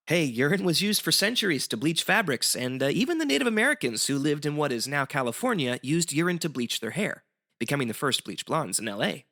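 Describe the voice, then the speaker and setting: A man's voice: on the high side, sing-song, a little fast, sharp tone. A man as a guest explaining history in semi-scripted educational banter, articulate and wry.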